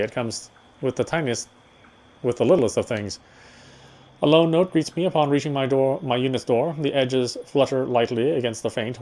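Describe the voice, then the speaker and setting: A man's voice: medium pitch, darkish tone, poor audio. A man reading first-person visual novel text aloud as narration, stumbling now and then over words.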